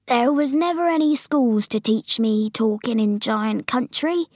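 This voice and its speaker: A woman's voice: on the high side, animated, unhurried, dry recording, clean studio sound. A woman voicing a very old, forlorn but friendly male giant in a storybook, drawing out mangled words with great emphasis. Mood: forlorn, earnest.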